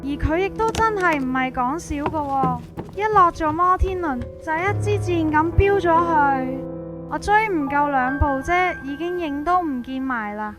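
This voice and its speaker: A woman's voice: sits high, expressive, measured pace. A woman with a Hong Kong Cantonese accent narrating a scripted first-person ghost story. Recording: prominent music behind.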